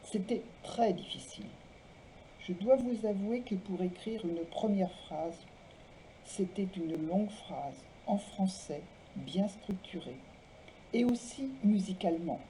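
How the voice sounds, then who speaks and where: Mellow-voiced woman reading aloud, faint noise. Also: mid-range voice, expressive, takes it slowly, poor audio. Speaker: presenter reading writers' testimonies aloud. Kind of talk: literary talk, biographical introductions and read-aloud texts.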